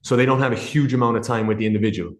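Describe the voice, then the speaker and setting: A man in his thirties speaks with a blended Irish and American accent, chatting in a relaxed, talkative way. His voice sits at an ordinary pitch and rings in a noticeably echoey room. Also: rapid-fire. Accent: Irish with some American. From Ireland. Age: 30s. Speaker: podcast guest, sports nutritionist. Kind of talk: casual podcast conversation.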